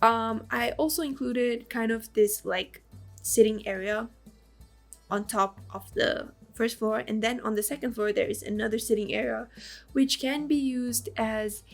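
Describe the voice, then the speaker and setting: Hoarse woman with the flu, raspy and gravelly, chatty game voice-over, faint music behind. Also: fairly high pitch, fairly even intonation, a little slow. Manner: rambling, lots of fillers and small laughs. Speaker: game builder narrating a build. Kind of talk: casual voice-over commentary.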